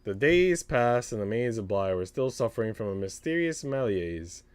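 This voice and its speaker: A man livestreaming, chatting casually with slangy, sweary talk and reading a visual novel aloud, with music softly behind him. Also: on the low side, big pitch swings, normal speed, smooth, dry recording. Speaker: live streamer.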